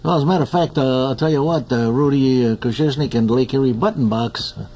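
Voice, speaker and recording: A man's voice: mid-range voice, fast, darkish tone, slight background noise. A man hosting a radio show, chatting casually with guests in a jovial, teasing way and laughing often. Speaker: radio host. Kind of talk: casual on-air chat. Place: radio studio.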